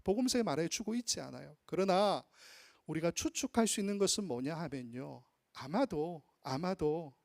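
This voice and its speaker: A man preaching an expository sermon as pastor, teaching in a measured, explanatory way and slowing down to spell out old word forms. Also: high voice, dry recording, clean studio sound. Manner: teacherly, draws out Greek and Aramaic word forms slowly. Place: church sanctuary.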